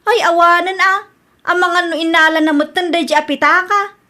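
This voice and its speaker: High bright voice, actress as exasperated wife, Ilocano accent, complaining and exclamatory, radio comedy drama. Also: female voice, steady tone, normal speed. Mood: puzzled, anxious. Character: fed-up, accusing wife robbed by a neighbour.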